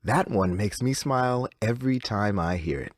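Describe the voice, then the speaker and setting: An actor playing a relentlessly cheerful, slightly unsettling radio DJ, with theatrical and reassuring retro announcer patter. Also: male voice, fairly low pitch, animated, moderate pace, no echo, studio quality, lightly acted. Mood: upbeat, reassuring.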